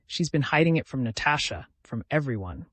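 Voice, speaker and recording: A man voicing a vengeful, calculating woman in an audiobook, deliberate and accusatory, with bitterly controlled grief. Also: mid-range voice, animated, fairly bright tone, no room sound. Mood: cold menace. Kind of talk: dramatic audiobook character reading.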